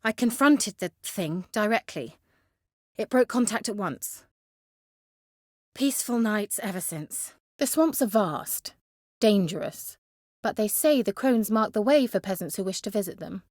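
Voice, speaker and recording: An actress playing a self-assured, coy and haughty young sorceress in a video game, with articulate, teasing, theatrical delivery. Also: female voice, mid-range voice, expressive, a little slow, darkish tone, no echo, studio quality. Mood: earnest, measured. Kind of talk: video game character acting.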